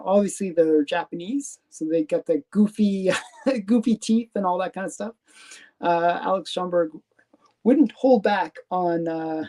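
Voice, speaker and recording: Mellow-voiced Canadian woman rambling casually. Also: fairly low pitch. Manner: rambling, halting, many fillers and restarts. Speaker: comic collector host. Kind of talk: casual live stream show-and-tell.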